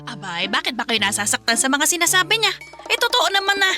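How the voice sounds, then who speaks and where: A woman's voice: high voice, big pitch swings, fast, bright, forceful tone, faint music behind, exaggerated voice. A woman playing a pushy, scheming, tactless middle-aged Filipina mother in a radio drama, nagging breezily. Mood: pushy, breezy.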